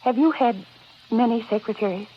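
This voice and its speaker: An actress in a 1940s radio drama playing a determined young woman, halting and polite yet insistent. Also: fairly high pitch, lightly acted. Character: determined young woman searching for her missing sister. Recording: dull, noisy vintage radio recording.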